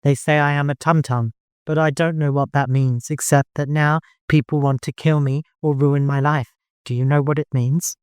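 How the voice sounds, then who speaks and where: A young heroine in an audiobook , acted by the narrator, frightened yet defiant. The delivery is earnest and emotional at a middle pitch, recorded close and dry. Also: fairly even intonation, moderate pace, clean tone, no echo, close to an everyday voice.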